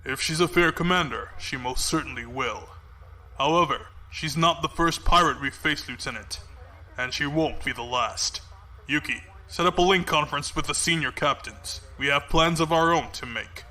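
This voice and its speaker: An actor playing a cold, calculating fleet commodore in a science fiction audio drama, measured, formal and authoritative. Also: male voice, a little high, sharp tone, poor audio. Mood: cold, assured.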